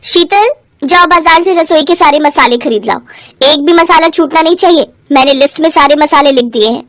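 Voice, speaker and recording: A woman's voice: high voice, fairly quick, a bit bright, no room sound, clean studio sound. A woman voicing a scheming, bossy elder sister-in-law in a storybook tale, full of mockery. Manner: bossy, mocking.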